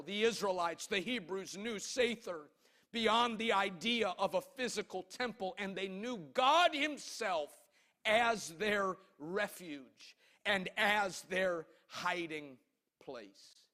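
High-pitched, bright-voiced male preacher. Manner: emphatic, declamatory, with drawn-out phrases. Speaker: pastor. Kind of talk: impassioned sermon.

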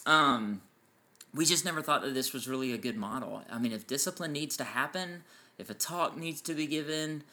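A man with a loud voice co-hosting a casual parenting podcast, explaining his points with enthusiasm. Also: medium pitch, moderate pace. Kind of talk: casual chat. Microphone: farther from mic than co-host.

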